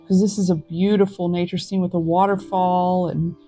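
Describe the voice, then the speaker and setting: A woman hosting a tarot reading, talking to viewers in a gentle, reflective, encouraging way. She is recorded outdoors in a forest. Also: lowish voice, level tone, normal speed, soft background music. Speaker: tarot reader.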